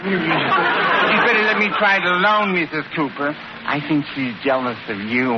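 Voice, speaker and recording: An actor playing a fussy, gullible older widowed landlord in an old radio sitcom, with exaggerated character delivery. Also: male voice, on the high side, unhurried, over a noisy crowd, close to an everyday voice. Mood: excited. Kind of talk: 1940s-50s radio sitcom acting. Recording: muffled, hissy old radio recording.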